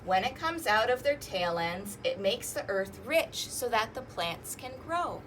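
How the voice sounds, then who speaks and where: A woman's voice: on the high side, very animated, a little slow, fairly bright tone, slight background noise, rough recording. A woman hosting an interactive storytime, reading aloud to young children with singsong emphasis and inviting them to join in. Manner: asks listeners questions and invites them to join in.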